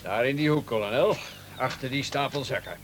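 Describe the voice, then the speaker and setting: A man's voice: medium pitch, a little fast, background noise, lightly acted. Man playing a sardonic prison guard, echoey. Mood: reporting. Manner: teasing, slangy. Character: sardonic prison guard with sly, mocking menace. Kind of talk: Dutch radio play acting. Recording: echoey room on radio play recording.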